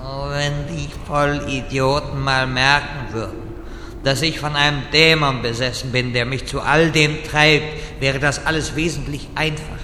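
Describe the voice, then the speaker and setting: An actor playing an exasperated, demon-possessed evil wizard in a comedic fantasy audio drama, with a forceful delivery. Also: male voice, steady tone, unhurried. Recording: echoey hall reverb, rumble behind.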